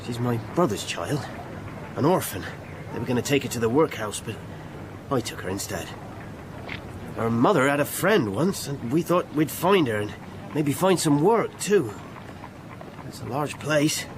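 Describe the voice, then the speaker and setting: An actor playing a weary, proud, homeless countryman in a radio drama, halting and plain-spoken. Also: medium pitch, expressive, lightly acted. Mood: weary, earnest. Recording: noisy outdoor street ambience.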